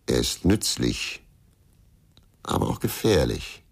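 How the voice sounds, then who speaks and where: An actor playing a bitter, brooding former police investigator in a radio crime drama, speaking tersely. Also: male voice, a bass voice, sing-song, slow, heavily acted. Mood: wary, brooding. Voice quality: gravelly.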